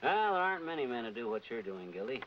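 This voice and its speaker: A mid-range, very animated voice of a folksy, wisecracking middle-aged fellow, played by a man in 1940s radio comedy style. The sound is muffled with faint hiss. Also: mid-range voice, fast, close to an everyday voice. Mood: folksy, earnest.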